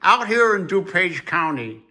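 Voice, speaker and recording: A man's voice: sits high, sing-song, unhurried, bright, forceful tone. A man hosting a casual chat to camera in a plain-spoken, opinionated way. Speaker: channel host.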